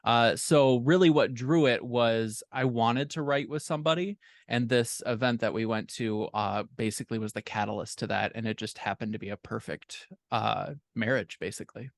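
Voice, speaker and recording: A man's voice: mid-range voice, moderate pace, creaky, few pauses, no echo, clean studio sound. A man speaking as a guest author, telling a story in a casual, conversational podcast style.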